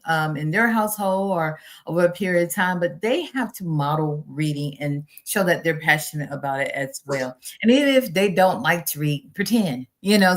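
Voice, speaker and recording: A middle-aged woman with a somewhat low-pitched voice speaks as an expert guest on an interview show. She is earnest and instructive, laying out practical tips in a conversational way.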